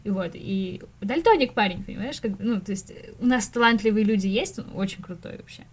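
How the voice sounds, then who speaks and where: A woman's voice: mid-range voice, a little fast, breathy edge. A woman chatting casually as a podcast guest, an animation teacher who tells stories expressively and often acts out quotes with laughter. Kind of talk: casual interview chat.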